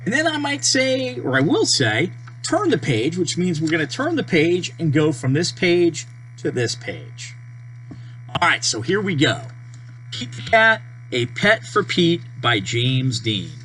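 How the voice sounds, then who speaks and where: A person reading a children's storybook aloud, with deliberate, simple phrasing and page-turn prompts. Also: medium pitch, rough recording. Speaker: storybook reader. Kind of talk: children's read-aloud narration. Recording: steady mains hum behind voice.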